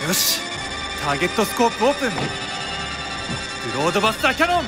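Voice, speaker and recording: A man's voice: high voice, big pitch swings, quick pace, low-quality recording. A man voicing an earnest young warship captain. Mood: determined. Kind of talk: voice drama acting. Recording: loud music underneath.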